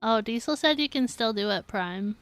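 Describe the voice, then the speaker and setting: A woman's voice: a little high, animated, normal speed, clear, resonant tone, dry recording, faint music behind. A woman streaming a game, giving casual, playful live commentary in a chatty way.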